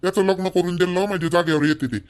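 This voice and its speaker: A man's voice: sits high, fairly even intonation, fast talker, natural voice. A man playing an insistent village uncle in a cartoon, reasoning persuasively in Vidarbha Marathi.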